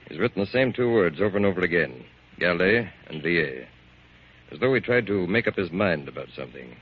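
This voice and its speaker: Brisk, fast-talking police captain. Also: male voice, low voice, expressive, breathy edge. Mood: businesslike. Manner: clipped, official. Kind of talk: 1940s American radio drama acting. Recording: muffled, faintly noisy 1940s radio recording.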